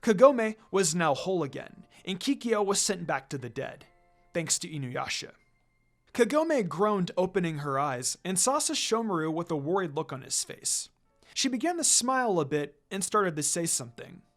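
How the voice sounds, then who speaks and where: A man's voice: high voice, very animated, moderate pace. A man reading fan fiction aloud as a narrator, in a dramatic scripted storytelling style. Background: music.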